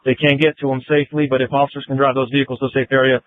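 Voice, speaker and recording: Fast, even-toned male officer on radio. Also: mid-range voice, no echo. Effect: police radio. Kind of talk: relaying messages by radio. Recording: tinny narrowband police scanner radio audio.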